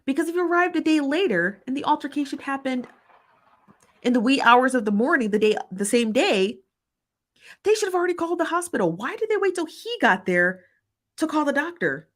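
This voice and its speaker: A woman's voice: high-pitched, very animated. A woman hosting a commentary show, arguing her case with emphatic repetition and reading viewer comments. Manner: emphatic, repetitive, argumentative, with quick laughs.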